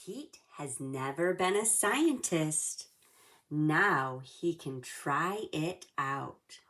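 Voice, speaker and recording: Female children's storybook narrator, low register, unhurried and measured delivery. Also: lively intonation, fairly bright tone.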